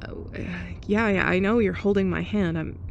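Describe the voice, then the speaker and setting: A young woman in a scripted roleplay monologue, abrasive and sarcastic, with awkward soft laughs and sighs. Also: medium pitch, normal speed, gravelly, dull audio, background noise. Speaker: ASMR roleplay performer.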